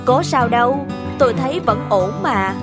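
A woman voicing a principled old farmer, high-pitched, music behind. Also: animated, close to an everyday voice. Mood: calm. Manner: measured, moralizing. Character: humble, contented, principled old male farmer, former official. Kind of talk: cartoon folktale dialogue.

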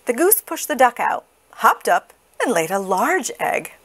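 Slow, animated woman reading a storybook. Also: a little high, slight background noise. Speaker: storybook reader. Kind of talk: expressive children's read-aloud.

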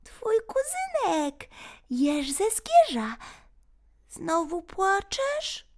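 A woman voicing a tiny, gentle, curious hedgehog cousin with a thin little voice in a children's storybook. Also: very high voice, wildly swooping pitch, very slow, pitched above the performer's own voice, exaggerated voice. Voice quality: thin, small voice.

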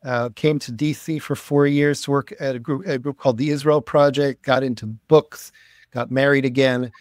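A man in his fifties from the United States, introducing himself as a guest on a video call, listing his life in a loose way with many pauses. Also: medium pitch, steady tone, no echo, clean studio sound. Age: 50s. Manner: rambling list of life events with many uhs. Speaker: guest, think tank founder. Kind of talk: casual spoken self-introduction. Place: home room.

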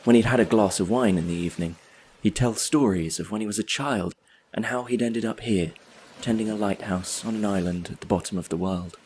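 A man's voice: fairly low pitch, fairly quick, darkish tone, slight background noise, close to an everyday voice. An actor playing a wistful, solitary drifter who tells his story in a reflective, wry monologue for an audio play.

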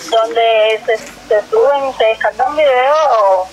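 A woman's voice: a little high, slow pace, low-quality recording. A woman with a Cuban accent working as a telephone customer service agent, formal and somewhat hesitant, heard through a phone speaker. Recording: phone on speaker, faint noise.

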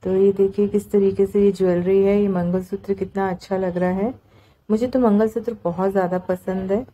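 Mellow-voiced woman vlogger. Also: medium pitch, steady tone, moderate pace, dull audio. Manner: chatty, conversational. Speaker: market vlogger. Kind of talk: casual vlog voice-over narration.

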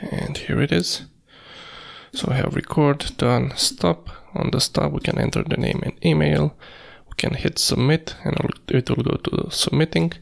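A man presenting a screencast coding tutorial, thinking aloud in a halting, piecemeal way with a creaky, gravelly voice. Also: on the high side, fairly even intonation. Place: indoors at a computer, screen recording.

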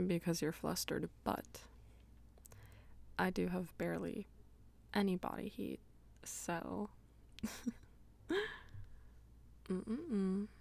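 A woman playing a dominant, teasing female vampire lover in an intimate ASMR roleplay, coaxing and breathy, often dropping toward a whisper. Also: mid-range voice, a little mellow, full character voice. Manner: slow, coaxing, teasing pet names.

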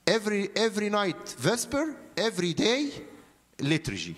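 A man in his fifties with an Egyptian accent, a native Arabic speaker, preaching as a priest in halting phrases with frequent pauses. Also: sits high, huge pitch swings, fairly bright tone. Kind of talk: sermon, storytelling from notes. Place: church interior, seated at a lectern. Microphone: handheld microphone held close.

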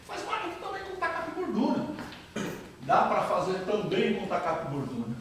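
A middle-aged man in his fifties giving an animated, interactive lecture and questioning his audience, recorded from a distant camera in a large brick-walled lounge. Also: high-pitched, normal speed. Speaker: guest speaker. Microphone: far camera, no mic. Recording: echoey room from far off, noisy.

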